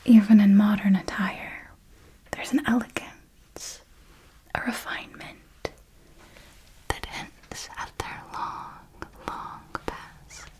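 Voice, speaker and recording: A woman playing an elegant, knowing vampire mentor in a breathy whispered ASMR roleplay, patient, refined and instructive. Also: medium pitch, steady tone, warm tone. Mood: hushed, instructive. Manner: slow, deliberate, refined, instructive.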